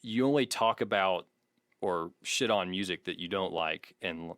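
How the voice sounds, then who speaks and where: A man's voice: sits low, a little slow, a bit bright, no room sound, studio quality. A man co-hosting a podcast in casual, conversational talk. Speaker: podcast co-host.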